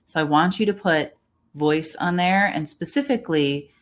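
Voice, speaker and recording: Woman, low voice, voice coach, conversational, encouraging, explanatory. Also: moderate pace. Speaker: voice coach, guest expert. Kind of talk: conversational expert advice in a livestream.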